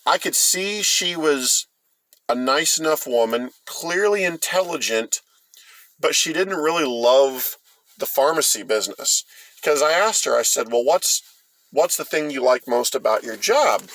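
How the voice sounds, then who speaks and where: A man's voice: on the high side, lively intonation, measured pace, a bit bright, dry recording, rough recording. Middle-aged American man, close dry sound. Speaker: host of a storytime vlog. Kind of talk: casual rambling storytelling.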